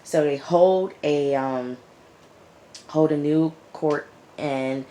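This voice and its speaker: A woman with a raspy, hoarse voice from recent illness, reviewing a TV episode in a casual vlog and repeating her points for emphasis. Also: low-pitched, extremely slow, mellow. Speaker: YouTube TV reviewer.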